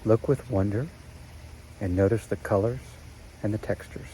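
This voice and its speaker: Soothing low-voiced man guiding meditation. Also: fairly even intonation, mellow, slight background noise, rough recording. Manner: slow, soothing, with long pauses. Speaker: meditation guide. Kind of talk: guided meditation narration.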